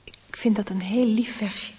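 Very breathy, shy young sweetheart. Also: female voice, medium pitch, fairly even intonation, a little slow, warm tone. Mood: timid, soft. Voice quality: breathy, often near whisper. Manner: hesitant, timid. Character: shy, devoted, obedient young woman in love. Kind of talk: radio drama acting.

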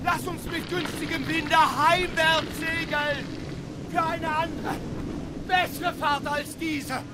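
Radio play casting: God-fearing, alarmed first mate, urgent shouts in drawn-out pace over roaring wind. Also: male voice, very high voice, flat delivery, over a noisy crowd. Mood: desperate pleading. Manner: urgent, shouting against the noise. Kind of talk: radio drama acting. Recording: loud windy sea noise behind.

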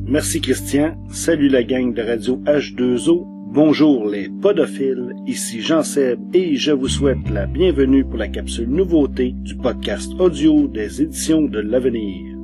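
A man's voice: mid-range voice, expressive, unhurried, hardly any pauses. A man presenting a scripted news segment on a podcast. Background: guitar music.

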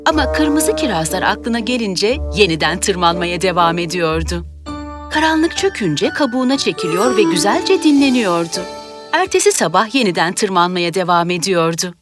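A woman's voice: fairly low pitch, sing-song, moderate pace. A woman reading a scripted children's story as its narrator. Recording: music behind the voice. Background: music.

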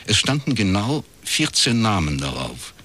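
A man's voice: lowish voice, big pitch swings, a little slow, sharp tone, exaggerated voice. An actor in his forties playing a wry, world-weary East German private detective in a radio crime drama, talking in a laconic, ironic way. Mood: dry, matter-of-fact. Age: 40s. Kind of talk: scripted radio crime drama narration and dialogue.

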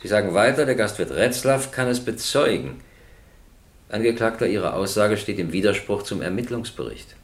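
An actor playing a stern, impatient presiding judge in a radio crime drama, questioning formally and insistently. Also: male voice, lowish voice, animated, normal speed. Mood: sceptical, impatient.

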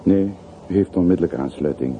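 A man acting in a radio play as a patient, plain-spoken hired porter, measured and deferential while gently prodding. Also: sits low. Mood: urging. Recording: muffled phone-like old radio recording, hissy.

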